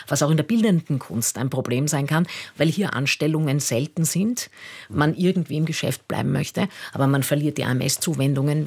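Husky woman hosting radio. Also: low voice. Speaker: radio phone-in host. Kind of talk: moderating a live call-in discussion. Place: radio studio.

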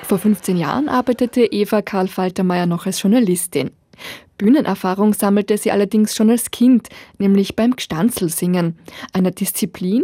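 A woman reading scripted TV report narration in an even, measured way. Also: mid-range voice, no room sound, studio quality.

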